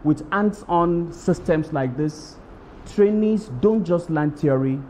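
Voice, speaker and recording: Man, slow measured delivery, technical explanation, faint background noise. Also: on the high side, dark tone.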